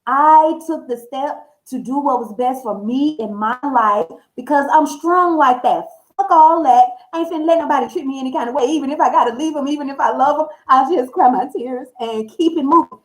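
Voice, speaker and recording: Woman, American accent, high pitch, casual vlog talk, candid, emphatic storytelling, salty slang. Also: brisk, poor audio. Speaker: host of a tarot reading channel. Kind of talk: casual personal talk to viewers.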